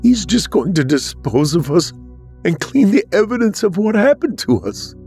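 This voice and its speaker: A man voicing the ghost of an upset, excitable dead woman in a story. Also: medium pitch, a little slow, soft background music, pitched above the performer's own voice, natural voice. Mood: tearful.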